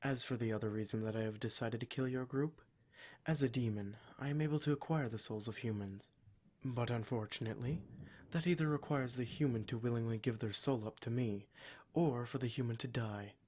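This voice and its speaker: A man's voice: medium pitch, fairly quick, close to an everyday voice. Man acting a smug, cruel demon captor. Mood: haughty, explanatory. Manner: condescending, mock-polite, menacing, formal wording. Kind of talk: scripted ASMR roleplay monologue.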